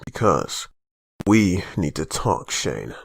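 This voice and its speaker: Man acting intense father leading survivors, swinging pitch. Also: sing-song, takes it slowly, gravelly, no echo, clean studio sound, exaggerated voice. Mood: grave, subdued. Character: Rick, father and desperate survivor leader, stern. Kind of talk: comic dub voice acting.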